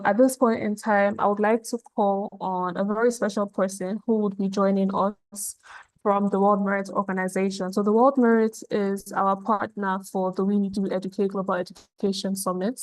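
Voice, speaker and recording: A woman moderating an online summit, introducing the next speaker in a measured, formal way. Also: mid-range voice, dry recording, rough recording. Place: online session.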